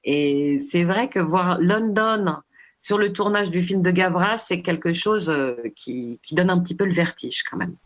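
Hesitant woman full of restarts. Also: lowish voice. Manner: halting, many fillers and restarts. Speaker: documentary filmmaker interviewed. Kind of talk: radio interview answer.

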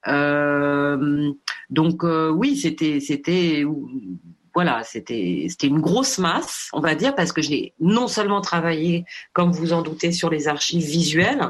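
A woman's voice: deep voice, lively intonation. Woman filmmaker in interview, hesitant, restarts, fillers. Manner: halting, many fillers and restarts. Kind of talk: radio interview answer.

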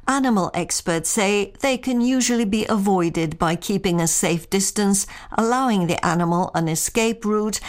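Woman reading a radio news report. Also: medium pitch. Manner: steady, clear reading.

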